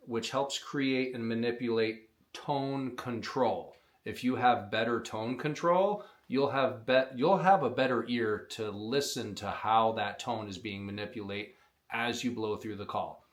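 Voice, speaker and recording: A man's voice: mid-range voice, fairly bright tone. A man explaining step by step, in a plainspoken way, how to blow a hunting call, teaching beginners on camera. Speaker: call maker teaching predator calling. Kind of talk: instructional demonstration.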